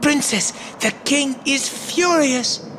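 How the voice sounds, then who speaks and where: A woman's voice: high voice, slow, a bit bright. A woman playing a sly, smug, bullying henchman in a video game, with a taunting, wheedling manner. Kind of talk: anime-style video game voice acting. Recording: clear background noise and ambience.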